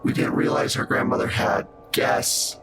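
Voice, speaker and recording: A man voicing eerie identical triplet brothers who talk as one, in a flat, hesitant way, for a tabletop game. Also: normal speed, heavy background noise, lightly acted. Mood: eerie calm.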